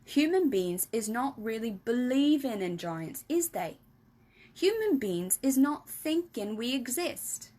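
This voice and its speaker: A woman's voice: on the high side, sing-song, slow, mellow, voice pushed up, heavily acted. A woman voicing a big friendly male giant for a children's storybook, exuberant and kindly, with mangled grammar and emphatic nonsense words. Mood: explaining. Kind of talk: animated children's storybook reading.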